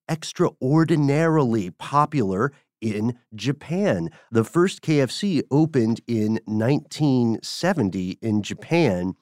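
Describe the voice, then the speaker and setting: A man's voice: medium pitch, a little mellow, dry recording, clean studio sound. An American man co-hosting a podcast, telling a story conversationally in a playful, wry way with mock-formal phrasing. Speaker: podcast co-host.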